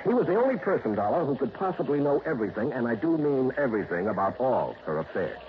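A man's voice: medium pitch, very animated, fast, natural voice. Guy playing a chatty small-town news guy, sly, bouncy voice, old radio play. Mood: insinuating. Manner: insinuating, eager, talkative. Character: gossipy small-town male radio newsman. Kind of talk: 1960s radio drama acting. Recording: dull old radio recording with hiss.

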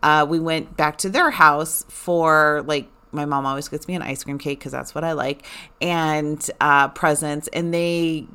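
A woman in her forties chatting casually to her listeners, animated and rambling with lots of fillers. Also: deep voice, unhurried, clear, resonant tone. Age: 40s. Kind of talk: casual personal chat.

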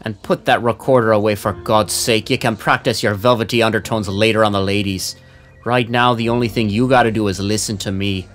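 A man's voice: fairly low pitch, fairly even intonation, fairly quick, fairly bright tone, natural voice. A man playing a brusque, wisecracking veteran police sergeant in a noir audio drama, slangy and no-nonsense. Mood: bossy, ribbing.

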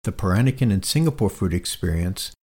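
A man hosting a food podcast, introducing his guest and asking earnest, slightly halting questions. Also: low voice, lively intonation, darkish tone. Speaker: podcast host. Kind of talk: podcast interview.

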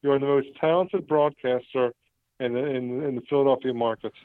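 A man's voice: medium pitch, fairly even intonation, fairly quick, dry recording. A man in his fifties calling in to a radio show, earnest and agreeable, laughing often. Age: 50s. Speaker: caller, former co-host. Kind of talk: casual phone-in chat. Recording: tinny phone line.

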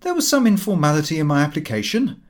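Man playing a brash, high-pitched young son. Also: very animated, quick pace. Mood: flippant. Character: brash, excitable young son, flippant and irritable. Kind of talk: audiobook character voice.

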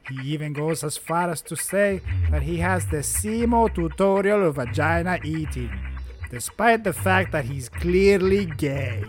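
A man's voice: fairly high pitch, animated, unhurried, faint music behind. A man co-hosting a podcast, chatting casually and jokingly with friends and prone to giggling. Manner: giggly, breaking into laughter. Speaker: podcast co-host.

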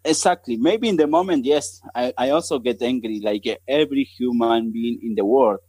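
A man in his thirties from Argentina, with an Argentine Spanish accent and Spanish as his first language, speaking haltingly as a missionary guest on a podcast. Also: mid-range voice, darkish tone, breathy edge, no room sound. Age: 30s. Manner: halting, searching for words in English. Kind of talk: podcast interview.

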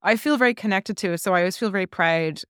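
A woman's voice: medium pitch, fast, fairly bright tone, smooth, no room sound, studio quality. A woman guest on a podcast telling a personal story in a chatty, rambling way, full of "like" fillers. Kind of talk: casual interview storytelling.

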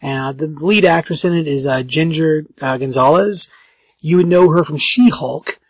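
Raspy man hosting a podcast, higher-pitched voice. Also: normal speed, dry recording. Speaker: podcast host. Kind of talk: casual chat with a co-host.

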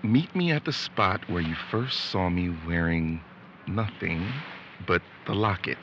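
A man's voice: deep voice, lively intonation, a little slow, fairly bright tone, exaggerated voice. A man acting an awkward, wistful young boyfriend in a naturalistic audio drama, talking hesitantly. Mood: wistful, embarrassed. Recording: dull lo-fi audio with faint background noise.

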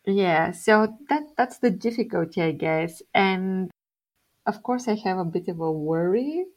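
A young woman from Bulgaria with a Bulgarian accent, a guest in a casual interview, telling things in an unhurried way and laughing often. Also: mid-range voice, expressive, darkish tone.

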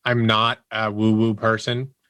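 A man's voice: lowish voice, monotone, a little slow, a bit bright, smooth, dry recording. A man speaking as a podcast guest, telling personal anecdotes in a candid, casual way. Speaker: podcast guest, audio producer.

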